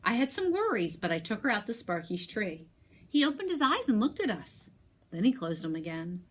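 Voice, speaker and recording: A woman teacher reading a picture book aloud and talking to young pupils, expressive, with emphatic pauses. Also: mid-range voice.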